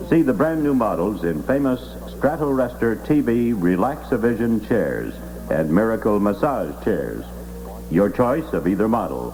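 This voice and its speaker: A man's voice: fairly low pitch, a little mellow, rough recording. A man reading news and ads in a formal announcer style, on a vintage 1960s radio recording with hum. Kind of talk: scripted 1960s radio newscast and live ad reading. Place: radio studio.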